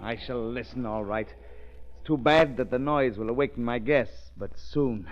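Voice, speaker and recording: An actor playing a cold, vengeful aristocratic villain with a measured, commanding manner, in 1930s radio style. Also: male voice, medium pitch, close to an everyday voice. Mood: menacing. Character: cold, vengeful aristocratic villain plotting against his guests. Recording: dull, band-limited 1938 radio recording.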